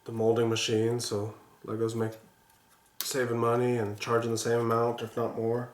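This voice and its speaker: A man's voice: medium pitch, completely flat delivery, normal speed, echoey room. A man with a stuffy, congested voice from a sinus infection, chatting casually and rambling with many restarts as he builds a toy set. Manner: rambling, frequent restarts and fillers. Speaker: vlogger building toy sets. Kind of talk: casual chat while building.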